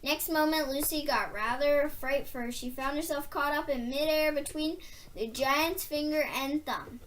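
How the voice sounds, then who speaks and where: Female children's book reader: high-pitched, slow-paced, expressive, deliberate emphasis. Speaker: storybook reader. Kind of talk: expressive read-aloud of a children's novel.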